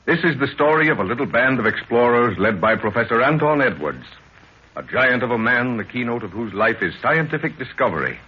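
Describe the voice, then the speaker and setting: A man's voice: medium pitch, very animated, a little fast, breathy edge. A man acting as the ominous, theatrical narrator of a 1930s radio jungle mystery. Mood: solemn, grand. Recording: tinny, band-limited 1930s radio recording.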